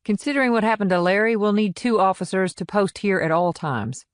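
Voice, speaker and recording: A man's voice: sits high, fairly quick, no room sound, clean studio sound, voice pushed up, natural voice. A man voicing a tough, determined female police sergeant in an audiobook, with a firm, no-nonsense manner. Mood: firm, commanding.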